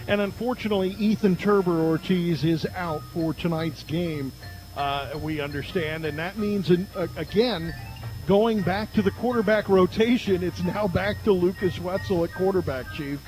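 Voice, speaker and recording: A man's voice: high-pitched, moderate pace, darkish tone, soft background music, low-quality recording. A man doing conversational pregame football commentary on the radio, emphatically reeling off stats as the play-by-play announcer. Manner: emphatic, reels off statistics.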